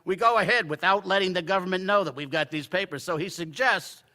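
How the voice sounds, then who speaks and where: Decisive male editor, radio drama, piercingly bright tone, high register. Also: fairly quick. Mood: measured. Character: decisive, combative newspaper editor in charge. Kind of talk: live radio play acting.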